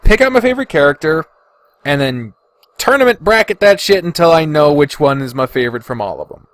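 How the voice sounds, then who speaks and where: Higher-pitched man chatting casually, close dry sound. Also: lively intonation, a little fast, no room sound. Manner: informal, freely swearing, thinking aloud. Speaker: podcast host. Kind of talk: casual solo ranking commentary.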